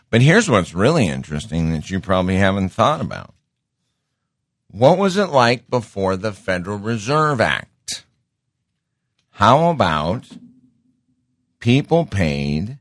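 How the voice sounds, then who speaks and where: A very deep-voiced middle-aged man hosts his show in a slow, unhurried ramble, circling back and repeating words as he interrupts himself. The sound is close and dry, with no room around it. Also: no room sound. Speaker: show host. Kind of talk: casual monologue.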